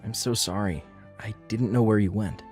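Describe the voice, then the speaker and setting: A man's voice: on the low side, normal speed, a little mellow, close to an everyday voice. A man voicing an earnest, protective young husband in a dubbed comic, speaking with emotion. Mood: apologetic, pleading. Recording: music behind the voice.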